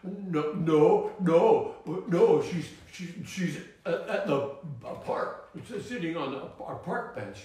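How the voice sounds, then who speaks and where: A man's voice: a little high, animated, takes it slowly, darkish tone. A man voicing a lonely, timid male park creature with a shell, who stammers. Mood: anxious. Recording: echoey distant room recording.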